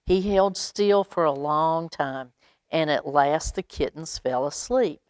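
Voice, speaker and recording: A woman reads a picture book to young children in a fairly low voice. She takes her time and slips in chatty, expressive asides. The sound is close and dry. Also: takes it slowly, no room sound. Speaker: library storytime reader.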